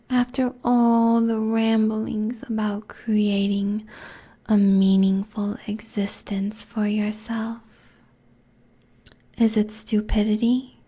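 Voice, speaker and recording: A woman's voice: medium pitch, mellow. A woman playing a seductive, cruel succubus queen in an intimate ASMR roleplay, whispering haughtily close to a binaural microphone. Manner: breathy, slow, condescending whispering.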